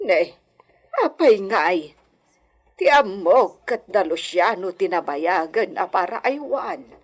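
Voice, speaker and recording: Woman performing middle-aged mother, radio drama, gentle, anxious, slow-paced, husky voice. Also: mid-range voice, lively intonation. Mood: anxious, caring. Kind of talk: Ilocano radio drama acting.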